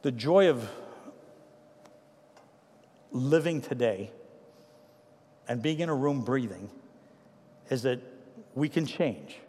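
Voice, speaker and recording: Trinidadian pastor in a reverberant church. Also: male voice, mid-range voice. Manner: animated anecdotes, then quiet earnest appeals. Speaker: pastor to seniors. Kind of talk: sermon with humorous storytelling. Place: church sanctuary. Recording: long hall reverb.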